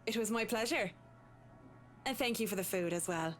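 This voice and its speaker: A woman playing a principled, deferential household employee in a visual novel, speaking in a measured, formal way. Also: mid-range voice, soft background music, close to an everyday voice. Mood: polite.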